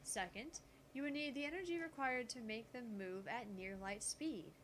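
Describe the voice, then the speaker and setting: A woman voicing a calm, gutsy teenage genius girl who lectures on physics in a visual novel. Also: fairly high pitch, normal speed, slight background noise, lightly acted. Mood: explanatory. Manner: explanatory, lecturing.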